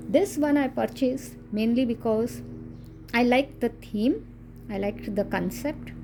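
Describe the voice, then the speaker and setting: Gravelly Indian woman, chatty reviewer. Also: medium pitch, dark tone, slight background noise. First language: Konkani. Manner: chatty, laughs often, self-deprecating asides. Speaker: coloring book reviewer. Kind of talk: casual book flip-through.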